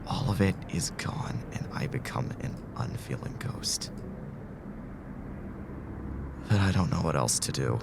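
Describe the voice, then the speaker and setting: A man's voice: extremely low pitch, lively intonation, normal speed, creaky, in a whisper, full character voice. A man playing a weary, earnest, anguished man trapped in an ordeal, in an emotional audio drama style. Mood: quiet despair. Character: anguished, principled man trapped in time loop.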